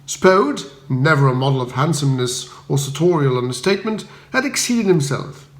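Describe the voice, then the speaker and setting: Higher-pitched man narrating, roomy sound. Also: animated, normal speed. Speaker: audiobook reader. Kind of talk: comic first-person scripted narration. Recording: roomy echo.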